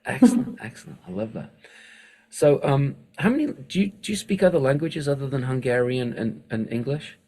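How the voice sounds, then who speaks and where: A man hosting an interview, enthusiastic and quick with praise, asking rambling questions. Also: mid-range voice, animated, warm tone. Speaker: podcast host. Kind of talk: casual interview chat.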